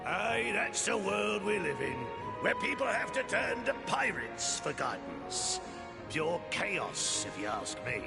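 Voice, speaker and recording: Gravelly, swaggering pirate captain. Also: male voice, sits high, big pitch swings, slow, loud music behind the voice, exaggerated voice. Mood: boisterous, mocking. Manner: pirate slang, swaggering, theatrical. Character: swaggering rough pirate captain, boisterous and mocking. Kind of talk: video game cutscene acting.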